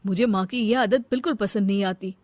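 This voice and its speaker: A woman's voice: medium pitch, brisk, darkish tone, close to an everyday voice. A woman voicing a troubled, respectful younger son in a cartoon moral story. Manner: respectful but reproachful.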